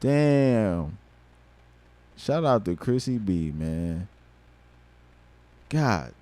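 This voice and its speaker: A man in his thirties livestreaming, a rapper talking casually and swearing freely, mixing thoughtful musing with loud excitement. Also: fairly low pitch, very slow, no echo. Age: 30s. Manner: reflective, then loud and excited with laughter and swearing.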